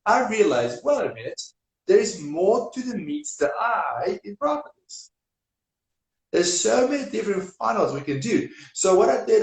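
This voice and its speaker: A man with a Serbian accent, speaking as an energetic guest in a casual live-stream interview, with non-native grammar and repetition. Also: sits high. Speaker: business guest. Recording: echoey room.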